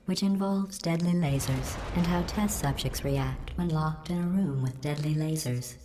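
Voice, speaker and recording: Cold sarcastic robot ai lady, low mellow synthetic voice, echoey. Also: background noise. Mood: cold passive-aggressive. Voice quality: passive-aggressive, flat and measured delivery. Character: cold sarcastic female AI supercomputer. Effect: synthetic robotic voice processing. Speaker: video game character. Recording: echoey game audio.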